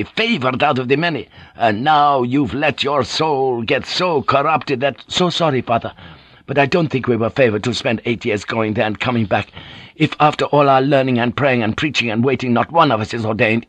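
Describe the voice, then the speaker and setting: A man's voice: medium pitch, moderate pace, a bit bright, no echo, lightly acted. A man reading an audiobook, voicing a proud young religious brother of samurai birth, polite yet bluntly insistent. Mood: indignant, then defiant. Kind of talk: dramatic audiobook character acting.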